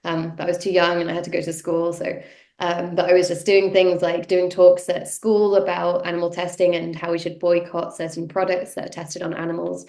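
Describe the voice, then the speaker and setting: A woman's voice: fairly low pitch, completely flat delivery, fairly quick, creaky, mellow. A woman, giving a casual personal talk while sniffly from a cold, with many ums and yeahs. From North Yorkshire, England. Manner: conversational, many fillers like um and yeah. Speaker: guest speaker, activist. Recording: roomy echo.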